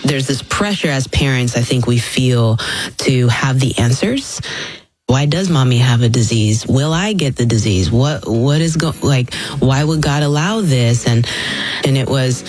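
A woman's voice: rumbling low, expressive, unhurried, music in the background. A woman from the Philippines, a radio guest, talking openly and chattily about her life. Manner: open and chatty, with fillers. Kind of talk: conversational interview.

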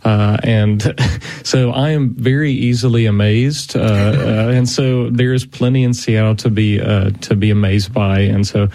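A man's voice: lowish voice, steady tone, unhurried, warm tone. A young man, chatting as a guest on a church radio show, rambling with lots of fillers. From Florida Panhandle, USA. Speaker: guest preacher. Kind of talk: casual chat.

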